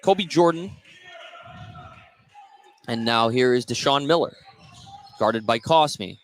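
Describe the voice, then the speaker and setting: A man's voice: mid-range voice, animated, slow pace. A man doing live basketball play-by-play commentary on the radio. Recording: gym ambience noise behind commentary. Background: sports gym ambience.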